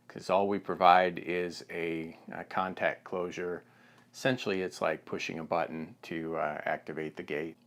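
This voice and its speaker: An American man in his fifties giving a plain, halting instructional product demonstration on a lapel mic in a studio. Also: low voice, fairly bright tone. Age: 50s.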